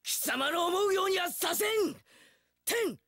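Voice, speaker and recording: An actor playing a hot-blooded, earnest young samurai spearman in a video game, with archaic, formal warrior declarations and a raspy voice. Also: very high-pitched, animated, a little slow, pushed and bright, no room sound, shouting, clean studio sound, exaggerated voice. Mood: fervent, battle-ready. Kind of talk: video game battle voice lines.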